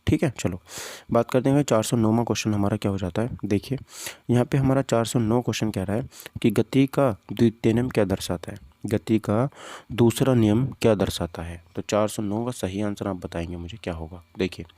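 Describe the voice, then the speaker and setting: Casting: male tutor, low dark voice, informal and repetitive, quizzing students through exam questions. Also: fairly quick, few pauses, no echo. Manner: conversational, repeats points, poses questions to students. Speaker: physics teacher. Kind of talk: informal exam-prep lesson, walking through questions and answers.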